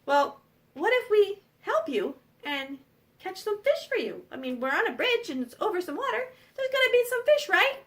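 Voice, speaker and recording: A woman's voice: extremely high pitch, big pitch swings, normal speed, a bit bright, distant mic, voice pushed up, full character voice. A woman voicing the biggest billy goat in a storybook tale, a confident, reasonable, kindly older brother. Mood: reasonable, helpful.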